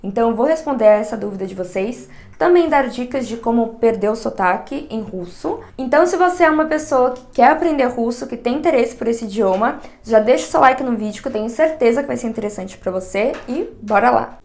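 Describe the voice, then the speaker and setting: A young woman speaks with a São Paulo accent, her voice sitting somewhat high. She talks frankly and chattily straight to camera, punching her points with emphatic asides. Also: animated, fairly quick, creaky, few pauses, a little mellow. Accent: São Paulo Portuguese. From Brazil, São Paulo. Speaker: vlogger. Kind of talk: casual direct-to-camera vlog.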